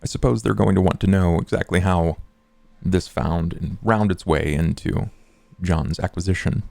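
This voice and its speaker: A man playing a suave, scheming and courteous confidant in a tabletop roleplay, speaking formally in wordy, measured phrases. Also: deep voice, gravelly, a little mellow, no room sound. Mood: probing.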